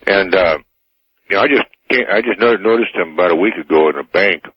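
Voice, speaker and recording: A very low-voiced American man calls in to a radio show. He chats casually and plainly in a rough, husky tone, and the line sounds muffled and roomy. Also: fairly quick. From Anchorage, Alaska, United States. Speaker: radio call-in listener. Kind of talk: casual chat.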